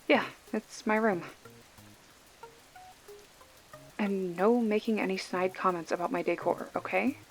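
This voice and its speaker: A woman's voice: mid-range voice, normal speed, close to an everyday voice. A woman playing a sarcastic, foul-mouthed young video store clerk with a protective streak, talking casually and wryly in a one-sided phone call for an ASMR roleplay. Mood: sarcastic, exasperated. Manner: wry, snarky, casual with swearing.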